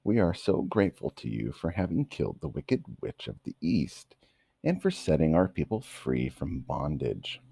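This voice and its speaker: Guy reading as tiny old lady from a storybook, polite n formal, gravelly and low, dry sound. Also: huge pitch swings, normal speed, dark tone, no echo, exaggerated voice. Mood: courteous, grateful. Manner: formal, courteous. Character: little old Munchkin woman, courteous and grateful.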